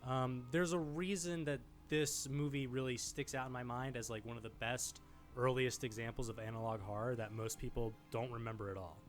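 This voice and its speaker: A man's voice: medium pitch, steady tone, moderate pace. A man hosting a video essay, giving informal, enthusiastic film commentary in a conversational style. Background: background music.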